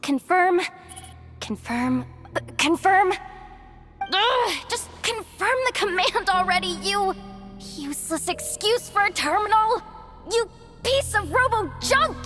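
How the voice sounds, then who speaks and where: A woman's voice: very high-pitched, heavily acted. A woman voicing a plucky, flustered young female technician in an anime video game, often talking to herself. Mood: exasperated.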